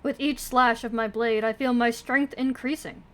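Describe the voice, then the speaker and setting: A woman's voice: a little high, fairly even intonation, normal speed, a bit bright. A woman doing casual livestream commentary on a game, with sarcastic asides and swearing, sometimes reading dialogue aloud. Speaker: game streamer. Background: video game music.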